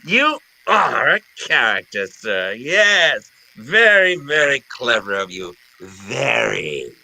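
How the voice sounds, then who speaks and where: An actor playing a genteel, wily criminal mastermind in an old-time radio drama, with florid and courteous phrasing. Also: male voice, mid-range voice, very animated, takes it slowly, creaky, pushed and bright, full character voice, rough recording. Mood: courteous, amused. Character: genteel, wily older criminal mastermind, falsely jovial and polite.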